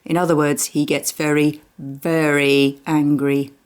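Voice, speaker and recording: A woman with a low-pitched voice narrates a children's story. She reads expressively, as to young listeners, and uses dramatic pauses for effect. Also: level tone.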